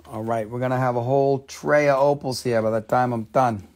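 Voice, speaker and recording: Excitable gravelly-voiced man selling gems. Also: medium pitch, brisk. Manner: excitable, exclaiming, casual swearing. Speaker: live-stream gem seller. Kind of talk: live sales stream chat. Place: indoor shop table, close-up on stones.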